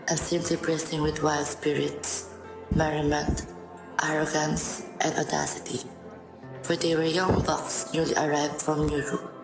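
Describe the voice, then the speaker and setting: Low-voiced woman narrator, echoey. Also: creaky. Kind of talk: scripted dramatic storytelling narration. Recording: roomy echo, music behind.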